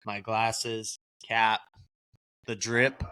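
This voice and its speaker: Bright-voiced joking young man. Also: fairly low pitch, flat delivery, extremely slow, clear, resonant tone, no echo. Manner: joking, teasing, boastful banter. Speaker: podcast co-host. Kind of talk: casual chat.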